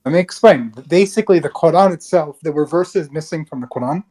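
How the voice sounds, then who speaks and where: A man's voice: fairly high pitch, brisk, a little mellow. A young man calling into a debate over a video call, explaining his views in hesitant, rambling sentences full of restarts. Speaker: caller.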